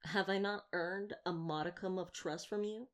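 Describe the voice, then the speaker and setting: A woman's voice: lowish voice, steady tone, moderate pace, smooth, lightly acted. A woman playing a wry, talkative vampire hunter in an intimate roleplay audio drama, glib and teasing with a caring side. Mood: coaxing, wry. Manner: glib, flirtatious, sardonic banter.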